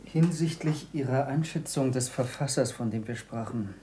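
An actor playing a reserved former pupil of an old scientist in a German radio play, speaking formally and with measured courtesy. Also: male voice, mid-range voice, warm tone, slight background noise, lightly acted. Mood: restrained, earnest.

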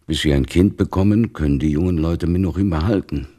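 An actor playing a devout, stern, weary older father in a literary radio play, speaking gravely with a gravelly, husky voice. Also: sits low, a little fast, mellow. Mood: resigned.

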